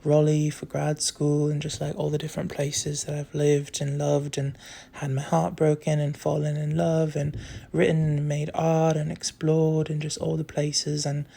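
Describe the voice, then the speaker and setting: A young adult content creator talks in a put-on British accent. The voice is husky and creaky, sitting at a level, unhurried register and often trailing into breath. The delivery is soft, candid and meandering, like a casual confessional recorded on a phone. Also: medium pitch, completely flat delivery. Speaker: social media content creator. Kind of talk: casual rambling confessional chat to followers.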